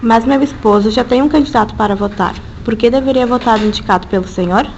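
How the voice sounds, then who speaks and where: A woman's voice: on the high side, muffled recording, noisy background, natural voice. A woman voicing a worker's wife who challenges her employer. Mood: defiant. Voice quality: challenging, quick. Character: worker's wife, defiant and questioning.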